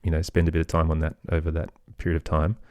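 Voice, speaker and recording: Middle-aged male podcast guest, very low register, close dry sound, hesitant and conversational. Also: completely flat delivery, fast talker, a little mellow, no room sound. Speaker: podcast guest, audio storyteller and producer. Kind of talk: casual interview conversation.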